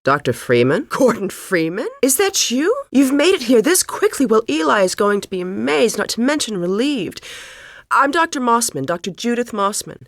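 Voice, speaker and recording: A woman's voice: fairly high pitch, very animated, moderate pace, no room sound, studio quality. An actress playing an earnest, composed middle-aged woman physicist in a video game, speaking precisely and a little formally. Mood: surprised delight. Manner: precise, articulate, slightly formal. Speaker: scientist character in a video game. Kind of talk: scripted video game voice acting.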